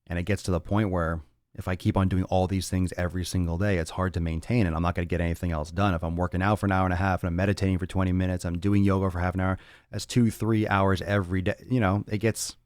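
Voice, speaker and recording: A man's voice: deep voice, level tone, quick pace, darkish tone, dry recording, studio quality. A man hosting a fitness podcast, answering listener chat in a blunt, profane, rambling way with lots of restarts. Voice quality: raspy on some days. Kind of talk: casual solo talk answering listener chat.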